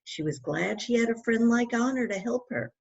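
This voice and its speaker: A woman's voice: fairly high pitch, expressive, normal speed, gravelly, husky, highs cut off. A woman reading a children's story aloud expressively, with emphatic phrasing and questions put to young listeners. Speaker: children's author reading her book aloud.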